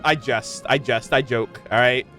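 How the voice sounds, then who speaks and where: A man reacting to a video game in a casual, slangy, joking and expressive way, as a streamer. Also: mid-range voice, unhurried, faint music behind.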